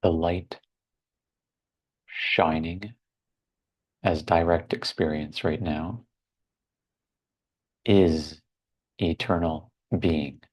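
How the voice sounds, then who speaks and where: A man leading a guided meditation in a measured, deliberate way, leaving long pauses between short phrases. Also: low-pitched, fairly even intonation.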